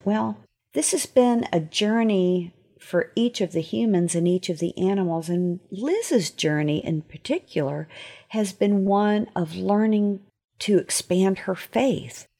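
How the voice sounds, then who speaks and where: A woman gives a short faith lesson to young listeners. Her voice is medium in pitch with a husky edge. She explains things expressively, often putting questions to the listener. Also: measured pace, warm tone. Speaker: author giving a short lesson. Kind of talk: gentle devotional talk to children.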